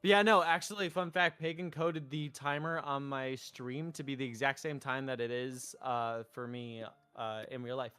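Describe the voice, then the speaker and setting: A man's voice: mid-range voice, steady tone, moderate pace, few pauses, sharp tone, clean tone. A man streaming a visual novel playthrough, narrating and reading the player character's part. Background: music.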